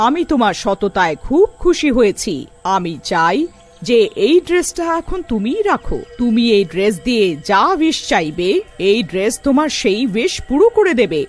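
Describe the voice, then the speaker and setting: A woman's voice: fairly high pitch, sing-song, moderate pace, soft background music. A woman voicing a gracious, authoritative witch queen in a children's cartoon story, with a benevolent, commanding manner. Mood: pleased, gracious.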